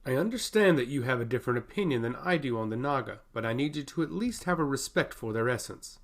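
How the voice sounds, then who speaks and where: A stern god of the sea voiced by a man rules through a fantasy storybook. He is deliberate and commanding, with a mid-pitched voice that rises and falls widely. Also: lively intonation, lightly acted. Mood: aggressive. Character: stern, domineering sea god with trident, ambitious. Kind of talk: fantasy audiobook dialogue.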